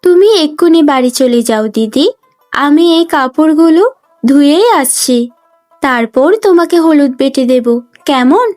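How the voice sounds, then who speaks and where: একজন মহিলা কার্টুন গল্পে স্নেহময়ী, কর্তব্যপরায়ণ তরুণী ছোট বোনের চরিত্রে কণ্ঠ দিচ্ছেন, কথায় নরম অনুনয় আর যত্ন।. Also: female voice, sits high, measured pace, faint music behind. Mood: affectionate. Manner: gentle, pleading, caring. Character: sweet, dutiful, affectionate young younger sister. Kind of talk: Bengali cartoon story voice acting.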